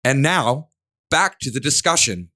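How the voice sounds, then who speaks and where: A man's voice: medium pitch, expressive, a little slow, bright, forceful tone, no room sound, studio quality, soft background music. A man hosting a podcast and chatting casually.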